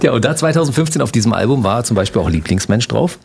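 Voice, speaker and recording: A man interviewing a guest, asking leading questions that often end in a quick tag question. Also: mid-range voice, lively intonation, vocal fry, a little mellow, breathy edge. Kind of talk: casual interview talk.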